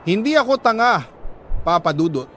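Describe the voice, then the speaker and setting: A Filipino man narrates a scripted confessional letter in a high-pitched voice. His delivery is dramatic and measured, breaking into short, emphatic phrases. A slight noise sits behind the voice. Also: big pitch swings, fairly bright tone. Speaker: storyteller reading a listener's letter.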